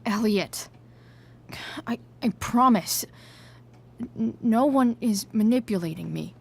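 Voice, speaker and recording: A woman's voice: a little high, expressive, slow, creaky, slightly rough, exaggerated voice. A woman playing a guarded, sarcastic, rebellious teenage girl in a video game, hesitant and slangy. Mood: defensive, uneasy. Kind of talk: naturalistic video game voice acting.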